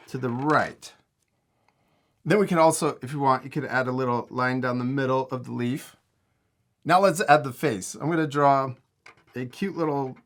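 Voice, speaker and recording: A man's voice: medium pitch, a bit bright. A middle-aged man, guiding children step by step through a drawing lesson with simple, encouraging instructions. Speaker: host of a children's drawing tutorial.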